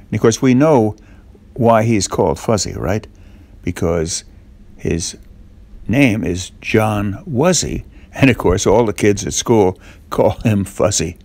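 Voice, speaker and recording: A man telling a children's story off the cuff, in a halting way with little questions to the listener. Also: fairly low pitch, animated, a little mellow.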